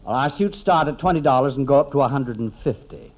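A man's voice: medium pitch, fast talker, natural voice. A man playing a courteous, eager-to-please menswear salesman in 1950s American radio comedy. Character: polite, obliging menswear salesman. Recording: muffled 1950s radio recording, faint noise.

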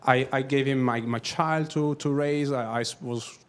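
A man's voice: mid-range voice, steady tone, moderate pace, clear, resonant tone. A man in his thirties from Italy with an Italian accent, a photographer speaking from the audience into a handheld mic, earnest and searching for words in English. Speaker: audience member, documentary photographer. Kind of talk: audience question, storytelling. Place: auditorium seating among an audience.

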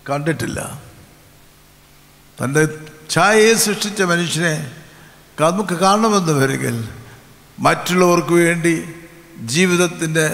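A man's voice: fairly high pitch, fairly bright tone. Solemn older male bishop, echoing PA. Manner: solemn, measured, repeats phrases. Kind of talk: sermon and closing benediction. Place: large open-air convention tent. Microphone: stand mic. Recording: long hall reverb from PA.

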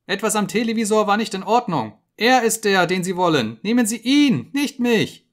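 A man reading an audiobook, voicing a terrified, emaciated male prisoner who begs and screams in a high, frantic voice. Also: very animated, moderate pace. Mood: shrieking. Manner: shrill, frantic pleading and screaming.

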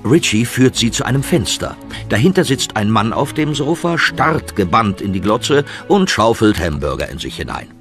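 A man's voice: mid-range voice, very animated, moderate pace, fairly bright tone, faint music behind. A man narrating a children's radio play in a scripted storytelling style.

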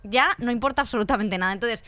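A young woman with a Spanish accent co-hosts a relaxed podcast. Her voice sits a bit high, and she talks in a lively way, quick to agree and to jump in with her own theories. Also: no echo. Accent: Spain Spanish. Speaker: podcast co-host. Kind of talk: casual podcast chat. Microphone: desk mic on stand.

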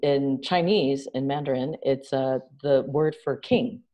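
A middle-aged woman from New City, a guest on a video call, telling stories in a lively, animated way. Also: very deep voice, measured pace. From New York City. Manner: animated storyteller, gestures a lot, laughs. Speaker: guest, social entrepreneur. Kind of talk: casual interview chat. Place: home room indoors. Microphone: video call webcam.

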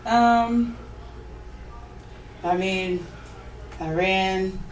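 A young American woman, a professional hurdler, answering interview questions in a relaxed, loose way with many fillers and restarts. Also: mid-range voice, drawn-out words, distant mic, slight background noise. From United States. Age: young adult. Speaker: interview guest, pro hurdler. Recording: roomy sound from off mic with faint ambience.

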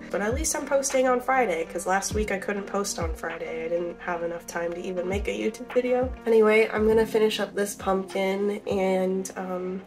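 Young southern girl vlogging, stuffy nose, rambly with lots of ums, faint music behind. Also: mid-range voice. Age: young adult. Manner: chatty, rambling, many fillers. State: congested from a cold. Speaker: crochet vlogger. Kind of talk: casual vlog chat. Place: small bedroom at home.

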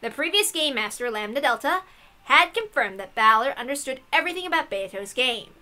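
Bright, high-pitched, animated woman reading aloud. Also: fairly quick, soft background music. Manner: excitable, irreverent, sweary. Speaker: host reading a visual novel aloud. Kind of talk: dramatic narration with casual joking asides.

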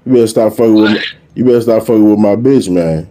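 A man's voice: fairly low pitch, quick pace. A middle-aged American man talking as a streetwise prank caller, confronting someone and repeating himself, recorded on a phone at arm's length. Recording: noisy phone-camera recording.

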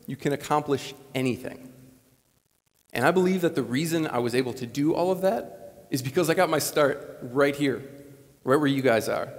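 A man in his late twenties from Wisconsin giving an earnest, conversational commencement speech on a lapel mic. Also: medium pitch. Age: late 20s. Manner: earnest, conversational, animated gestures. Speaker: commencement speaker. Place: large school gymnasium, on stage. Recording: long gym reverb.

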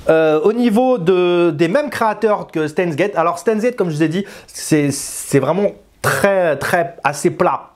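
A man in his thirties with a fairly high voice talks to camera as a game reviewer. His delivery is informal and chatty, and he repeats and corrects himself as he goes. He speaks into a lapel mic in a small indoor room. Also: a little slow, few pauses. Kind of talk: casual spoken review to camera.

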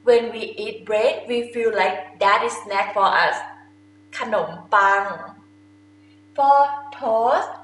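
Young female Thai language teacher, Thai-accented, higher voice, informal and instructional, recorded in an echoey room. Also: a little slow, fairly bright tone. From Thailand. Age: young adult. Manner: informal, simple explanations with checking questions. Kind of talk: instructional lesson to camera. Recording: echoey room with faint noise.

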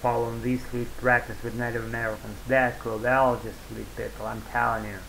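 A man's voice: medium pitch, little pitch movement, a little fast, creaky, a bit bright, a little hiss or hum, low-quality recording. A man in his forties who is a native Russian speaker, talking in a rambling casual vlog to his webcam at home, full of fillers and self-corrections, with a sore throat. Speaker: vlogger. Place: small room at home, indoors. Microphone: webcam at desk.

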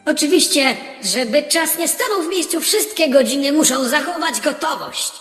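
A woman's voice: high voice, expressive, moderate pace, fairly bright tone. A woman playing a knowing fairy-tale castle guide in an audio drama. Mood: explaining. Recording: echoey hall, music behind.

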